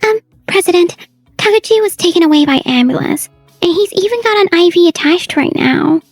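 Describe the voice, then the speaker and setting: A woman's voice: very high-pitched, animated, a little fast, no room sound, faint music behind. A woman voicing a worried young office coworker in a manga dub, earnest and anxious.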